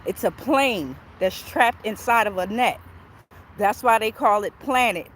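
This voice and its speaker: A woman's voice: mid-range voice, expressive, a little slow. A woman hosting a casual livestream on a phone while out walking, informal, repeating her phrases and checking in with her followers. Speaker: livestream host and spiritual tarot reader. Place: outdoors on a walk near traffic. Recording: outdoor street and traffic noise.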